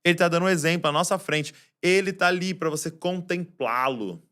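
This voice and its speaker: A man preaching a Christmas sermon, shifting between soft, low passages and loud, raised ones, full of rhetorical questions. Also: brisk, clear, resonant tone.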